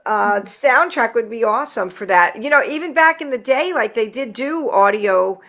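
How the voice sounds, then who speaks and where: A woman with a slightly high voice hosts an informal interview. She is chatty and enthusiastic, speaking in loose, conversational questions and remarks. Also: animated, moderate pace, a bit bright. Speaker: podcast host. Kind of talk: casual interview chat.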